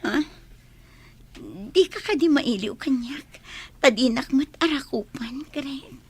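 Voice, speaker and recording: A woman's voice: high-pitched, animated, takes it slowly, breathy edge, exaggerated voice. A woman playing a devoted, anxious, pleading wife in an audio drama, with a Filipino accent. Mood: anxious, tender. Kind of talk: Ilocano audio drama acting.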